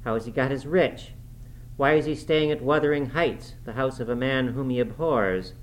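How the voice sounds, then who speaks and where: A man's voice: mid-range voice, moderate pace, slight background noise, close to an everyday voice, low-quality recording. A man voicing a plain-spoken, sensible housekeeper woman, earnest and cautioning, in a storybook reading. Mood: admonishing.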